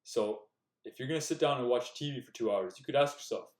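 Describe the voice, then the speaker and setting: A man's voice: medium pitch, fast. A young man giving earnest, direct self-improvement advice in a casual talk to the camera. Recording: echoey room.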